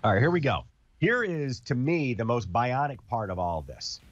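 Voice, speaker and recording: A man's voice: mid-range voice, very animated, moderate pace, no echo, highs cut off. A man with a cold, sounding congested, hosting a casual product demo and explaining with everyday comparisons. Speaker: TV host demonstrating a product.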